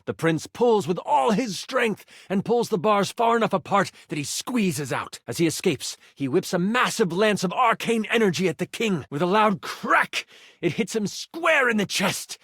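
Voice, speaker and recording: Game master narrating fantasy rpg, theatrical, highish voice, swings up n down a lot, super dry sound. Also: male voice, big pitch swings, moderate pace, a bit bright, husky, dry recording, heavily acted. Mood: urgent narrating. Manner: theatrical, suspenseful storytelling. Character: theatrical, playful male game master, personified gaming die. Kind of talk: dramatic tabletop fantasy narration.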